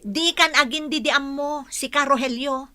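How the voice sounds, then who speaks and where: Sharp, defiant wife, bright-voiced. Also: female voice, high voice, fairly even intonation, moderate pace. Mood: defiant, angry. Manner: sharp, confrontational. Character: defiant, resentful unfaithful wife in a bitter quarrel. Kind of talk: radio drama acting.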